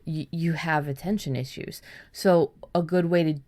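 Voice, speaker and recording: Low-voiced woman hosting a casual podcast. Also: fairly even intonation, normal speed, a little mellow. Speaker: podcast host. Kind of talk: casual conversational podcast advice.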